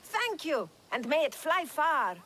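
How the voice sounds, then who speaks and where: A woman's voice: high voice, very animated, slow, sharp tone, slight background noise, full character voice. An actress playing a chirpy female market trader in a video game, selling with bubbly exclamations. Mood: eager. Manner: bubbly, exclaiming sales patter. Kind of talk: video game cutscene acting.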